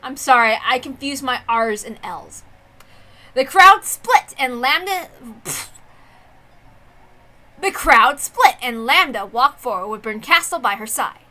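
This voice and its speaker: A woman narrates a story aloud with a bright, high voice. Her delivery is dramatic and lively, in the manner of a visual novel read-through. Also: takes it slowly.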